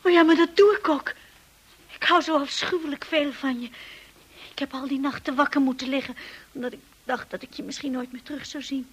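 A woman's voice: high-pitched, fairly even intonation, a little fast, natural voice. An actress playing a shy, earnest young lady's companion in a Dutch radio drama, hesitant and emotional in delivery. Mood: loving, anxious.

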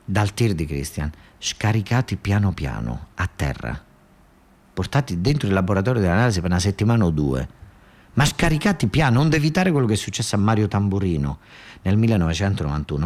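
Deep-voiced southern Italian man telling a story. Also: darkish tone. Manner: colloquial, dialect-tinged, repeats phrases for emphasis. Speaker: storyteller, monologue stage actor. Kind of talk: dramatic narrative theatre monologue about real events.

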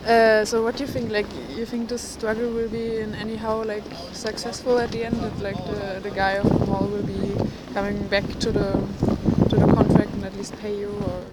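A woman asks questions in English with many fillers and restarts, her pitch staying flat. Loud wind and outdoor noise sit behind her voice. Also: normal speed. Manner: hesitant, fillers like "like". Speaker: interviewer. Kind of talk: casual interview questions in English.

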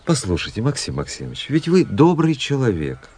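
An actor playing a young, self-willed aristocratic officer in a radio drama, theatrical and persuasive, on a noisy recording. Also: male voice, mid-range voice, wildly swooping pitch, brisk, a little mellow, husky, exaggerated voice. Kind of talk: Russian radio drama acting.

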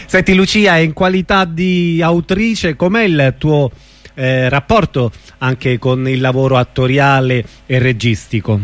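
A man's voice: mid-range voice, a little slow, no echo. A man hosting an informal radio interview, putting his questions hesitantly with short acknowledgements.

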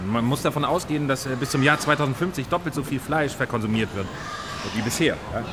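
An actor playing a nutrition scientist in a radio drama, explaining didactically with hesitations and little checking tags. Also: male voice, mid-range voice, fast, lightly acted. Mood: matter-of-fact. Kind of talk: naturalistic radio drama in reportage form. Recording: noisy outdoor-like ambience behind the voice.

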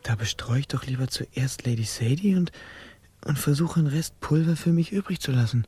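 A man's voice: mid-range voice, lively intonation, normal speed, mellow, slightly rough, faint music behind. A man speaking scripted lines in a fairy-tale radio play.

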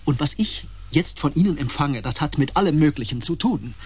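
An actor playing a proud, hostile outcast empath in a radio drama, formal in wording, cutting and defiant in manner. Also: male voice, a little high, expressive, fairly quick, slightly rough. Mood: cutting, challenging.

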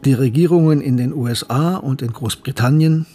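An actor playing a sober male narrator who recounts wartime history in a measured, factual way, with a husky voice. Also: medium pitch, dark tone, soft background music, natural voice. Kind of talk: scripted narration in a radio play.

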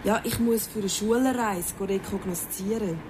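An actress playing a friendly, curious young schoolteacher in a radio drama, chatting politely in Swiss German. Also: female voice, medium pitch, moderate pace, lightly acted. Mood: chatty, amused. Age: young adult. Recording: clear ambient noise behind the voice.

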